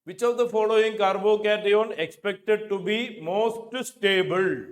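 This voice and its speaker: A man's voice: high-pitched, unhurried. A middle-aged man with an Indian accent teaching an exam coaching class, repeating key phrases for emphasis. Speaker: chemistry teacher. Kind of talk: exam coaching lecture working through questions. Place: indoor teaching studio with a digital board. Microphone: lapel microphone.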